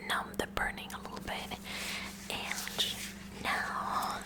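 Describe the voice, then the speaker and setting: A woman playing a kooky, curious high school science club girl in a whispered ASMR roleplay, musing haltingly with clinical fascination in a breathy whisper. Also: lightly acted, low-quality recording. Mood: intensely curious. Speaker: ASMR performer.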